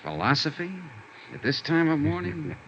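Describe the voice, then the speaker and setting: An actor playing a world-weary, wry insurance investigator in a vintage radio noir drama, with terse, punchy delivery. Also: male voice, on the high side, very animated, normal speed. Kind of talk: 1950s radio noir acting and narration. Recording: dull, noisy old radio recording.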